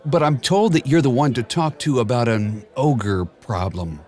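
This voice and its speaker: A man's voice: medium pitch, a little slow, a little mellow, lightly acted. A man voicing an anxious scheming king who speaks politely and in a hurry. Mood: uneasy.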